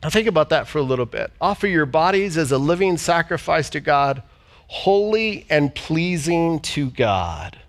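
A pastor delivering a sermon, a man whose voice sits notably high. He reads scripture aloud and pauses to have the congregation repeat key words back. The service is also streamed to viewers online. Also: big pitch swings. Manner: preaching with call-and-response and scripture reading. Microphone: church service streamed online.

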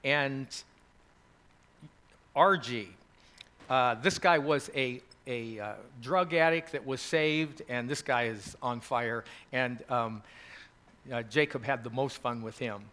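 A man's voice: medium pitch, slow, sharp tone. A man hosting a college gathering, telling stories to a group in a chatty, rambling way with many fillers. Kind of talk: casual address to a group.

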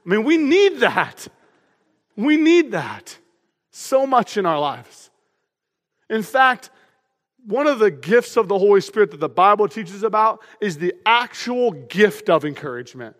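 Man, pastor, loud energetic sermon, emphatic, high voice, long reverb from a big hall. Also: unhurried. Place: church auditorium.

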